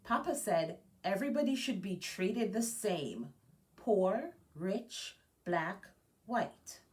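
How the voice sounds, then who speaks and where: Expressive woman reading a storybook aloud, unhurried. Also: medium pitch, distant mic. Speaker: guest storybook reader. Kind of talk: children's picture book read-aloud.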